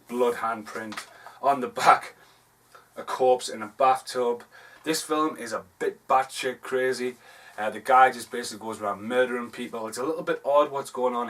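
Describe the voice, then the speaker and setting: A man's voice: mid-range voice, fairly bright tone. A Geordie man talking through his movie collection in a loose, offhand way, often stopping to correct himself. His voice sits a little back from the microphone, so it sounds slightly distant. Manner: rambling, offhand, self-correcting. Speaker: film reviewer. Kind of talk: casual video review, showing DVD covers. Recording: voice a bit far from the mic.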